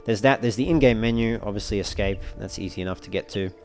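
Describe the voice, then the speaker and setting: Man, lowish pitch, casual game commentary, walkthrough host, measured and wordy, slightly formal phrasing. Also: soft background music. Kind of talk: casual let's play commentary.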